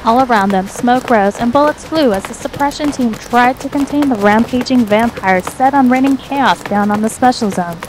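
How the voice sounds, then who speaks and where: A woman's voice: on the high side, moderate pace, few pauses, noisy background. A woman reading scripted fan fiction as the narrator.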